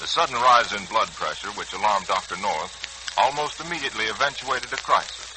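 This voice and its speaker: A man's voice: lowish voice, brisk. Dramatic male vintage radio announcer, heavy hiss. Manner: dramatic, formal. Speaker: announcer and narrator of a radio serial. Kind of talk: 1940s radio scripted narration. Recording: noisy, hissing old radio recording.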